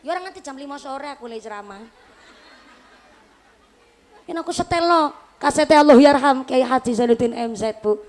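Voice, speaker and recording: A Javanese-accented woman in her late twenties preaching to a live audience, her voice sitting high in pitch. She keeps things light and chatty, weaving jokes into the talk and speaking straight to the crowd. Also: a little mellow. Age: late 20s. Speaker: Islamic preacher.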